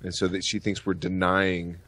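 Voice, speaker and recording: A man's voice: deep voice, completely flat delivery, normal speed, fairly bright tone, slightly rough, dry recording. A man hosting a podcast, speaking bluntly with heavy swearing in a ranting, casual style. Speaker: podcast host. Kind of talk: ranting, profane podcast chat.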